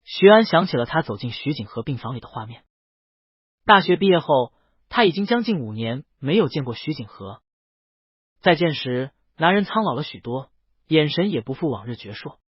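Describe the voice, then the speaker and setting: A man's voice: on the high side, sing-song, normal speed, husky, no room sound, highs cut off. A man reads a scripted story as an audiobook narrator, voicing the dialogue himself.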